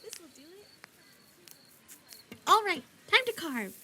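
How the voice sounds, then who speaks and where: A woman voicing a bubbly, naive young shopkeeper who is excitable, chatty and easily torn between choices in a roleplay voice pack. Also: very high voice, slow pace, slight background noise, exaggerated voice. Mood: playful.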